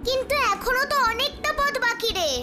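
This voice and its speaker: A woman's voice: very high-pitched, fairly quick. A woman voicing a brave, kind little ghost girl in a cartoon. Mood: brave, reassuring. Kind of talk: cartoon voice acting. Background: music.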